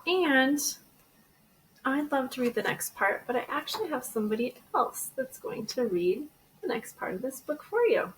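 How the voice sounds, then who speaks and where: A woman teacher reading a children's storybook aloud in an expressive way. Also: high-pitched, moderate pace, slight background noise, rough recording. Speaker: elementary school teacher.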